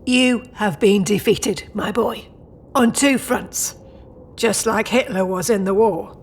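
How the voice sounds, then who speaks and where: A woman's voice: medium pitch, takes it slowly, slight background noise. A woman playing a proud, old-fashioned British mother and grandmother-to-be, formal and stern yet doting, in an audio drama.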